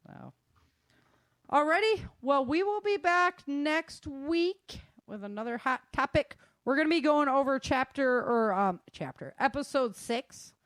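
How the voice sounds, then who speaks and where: A woman's voice: high voice, animated, a little slow, a bit bright, no room sound. A woman chatting casually as host of a live show, informal and slangy, with exclamations.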